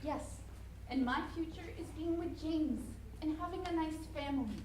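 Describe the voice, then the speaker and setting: Woman acting a high-voiced, troubled teenage girl. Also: moderate pace, mellow, lightly acted. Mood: defiant, upset. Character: troubled, insecure teenage girl in love, defiant with her mother. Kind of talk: staged dramatic reading of a play. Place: live presentation in a room at a college. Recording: echoey room with clear background noise.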